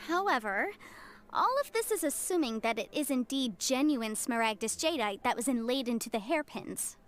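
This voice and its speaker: A woman's voice: a little high, lively intonation, moderate pace, a bit bright. A woman voicing a confident, meticulous young female legal advisor in a video game, precise and articulate. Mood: authoritative.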